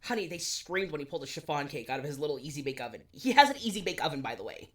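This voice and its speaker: Lively, low-voiced woman in her twenties. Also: rapid-fire. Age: 20s. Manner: animated, swearing, self-deprecating. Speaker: podcast co-host. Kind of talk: casual chat.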